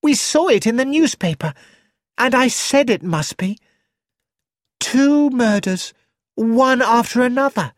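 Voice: mid-range voice, animated, slow, pauses often, no echo, voice pushed up. A narrator voicing a flighty, childish young actress who exclaims eagerly about money, read in a close, dry studio recording.

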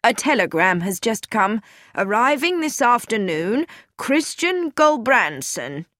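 A woman voicing a brisk, devoted female companion with a businesslike way of talking. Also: fairly high pitch, very animated, a bit bright, no room sound, studio quality, pitched above the performer's own voice, heavily acted.

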